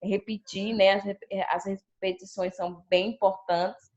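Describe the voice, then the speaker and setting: Woman, Brazilian Portuguese accent, teacher, chatty storytelling, mid pitch, muffled video call audio. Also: a little slow. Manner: chatty, animated retelling with quoted pupil voices. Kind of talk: sharing classroom experience on a video call.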